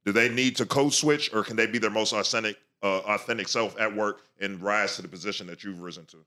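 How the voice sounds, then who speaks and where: Bright, forceful man, lowish pitch. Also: fairly even intonation, brisk. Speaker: podcast panelist. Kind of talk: conversational questioning.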